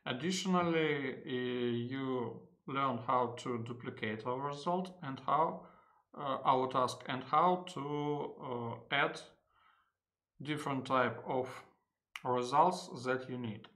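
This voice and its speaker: A man with a Russian accent and Russian as his first language, giving an unedited software tutorial in English, halting often with fillers and correcting himself. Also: medium pitch. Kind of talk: unedited screen-recorded tutorial.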